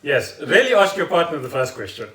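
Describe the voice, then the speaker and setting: A man's voice: a little high, sing-song, brisk, a bit bright. Man chatting in an echoey room. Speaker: podcast co-host. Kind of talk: casual unscripted chat. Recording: roomy echo, distant from mic.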